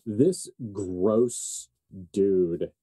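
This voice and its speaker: A man running a tabletop horror game as game master, improvising narration in deliberate, halting, dramatic phrases with music playing behind him. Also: fairly low pitch, dark tone.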